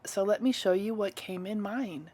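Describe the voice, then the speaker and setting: Chatty woman, slightly hoarse and stuffy. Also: mid-range voice, normal speed, darkish tone. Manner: chatty and enthusiastic. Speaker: card-making crafter. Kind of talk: casual crafting tutorial voice-over.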